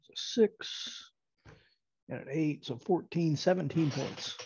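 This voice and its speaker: A man with a gravelly, mellow voice plays a tabletop game, talking slowly and casually. The sound is dull and lo-fi. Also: a little high, dry recording.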